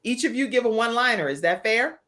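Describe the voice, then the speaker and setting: A woman's voice: fairly high pitch, expressive, quick pace, fairly bright tone. Effusive American woman hosting a talk show. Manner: effusive, encouraging, addresses viewers by name. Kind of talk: live online talk show chat.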